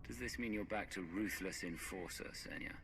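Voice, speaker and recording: An actor playing a calm, probing hero who asks direct questions in a video game cutscene. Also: male voice, sits low, moderate pace, background noise. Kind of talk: dramatic video game dialogue.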